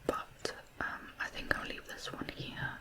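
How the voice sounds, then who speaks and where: An ASMR host narrating true crime in a soft, unvoiced whisper, reflective and somewhat hesitant. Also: unhurried.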